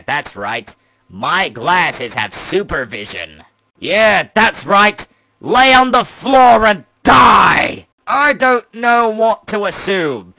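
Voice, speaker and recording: Man as a cocky high-voiced animatronic. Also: sharp tone, dry recording, full character voice. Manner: boastful, taunting. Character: cocky, aggressive male animatronic in goggles. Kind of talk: video game character voice lines.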